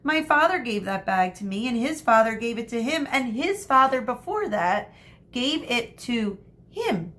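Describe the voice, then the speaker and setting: A woman's voice: on the high side, very animated, unhurried, a bit bright, pitched above the performer's own voice. A woman voicing a meek schoolgirl from a storybook, pleading with a light whine. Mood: whiny, pleading. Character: meek, whiny, evasive schoolgirl.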